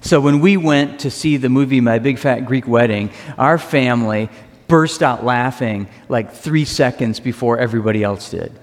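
A man preaching a sermon as pastor, earnest and emphatic, slowing down to stress key phrases. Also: mid-range voice. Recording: reverberant hall.

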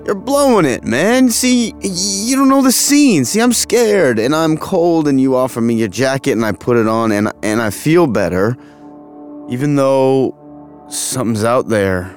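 A man's voice: medium pitch, lively intonation, unhurried, soft background music, close to an everyday voice. A man playing a wounded, dazed former movie heartthrob in an audio drama, dreamy and faltering with boyish pleading. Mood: urgent, vulnerable. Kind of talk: dramatic audio play dialogue.

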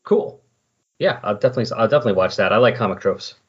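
A man's voice: fairly low pitch, racing, husky, lo-fi sound. A man talking casually as a podcast co-host in a rambling, conversational way about comics.

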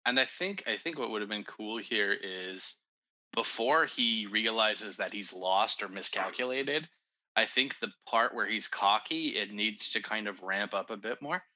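A man's voice: fairly low pitch, unhurried, pushed and bright, muffled recording. A man giving casual creative feedback on a script, acting out example lines as he explains.

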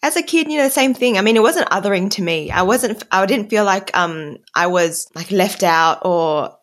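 A woman's voice: mid-range voice, lively intonation, normal speed. A woman speaking as a podcast host in a casual, conversational style.

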